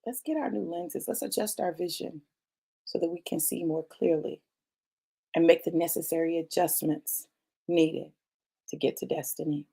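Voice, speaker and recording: A woman's voice: on the low side, normal speed, creaky, mellow, breathy edge. Emphatic woman preacher in her fifties. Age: 50s. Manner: emphatic, repeats phrases, calls listeners y'all and baby. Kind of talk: motivational sermon.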